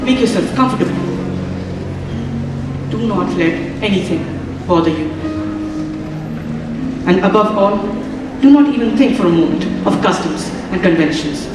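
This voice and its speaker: A young man acting in a stage play as a kind, protective married man, speaking in a calm, measured way. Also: sits high. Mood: calm, soothing. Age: young adult. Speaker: student actor in a stage play. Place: large theatre stage. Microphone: far camera in the hall. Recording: echoey hall from far off, loud music behind.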